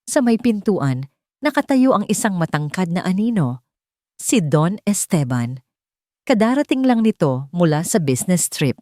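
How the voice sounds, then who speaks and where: A woman's voice: deep voice, mellow, no echo, studio quality. A woman narrating a dramatic scripted love story.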